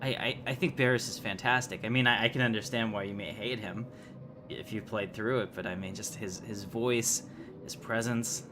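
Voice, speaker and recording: A man with a fairly low voice chats through a game livestream. He rambles easily and conversationally, with clear noise and game ambience behind him. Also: fairly even intonation, moderate pace. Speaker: game streamer, host. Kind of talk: casual livestream chat with game commentary.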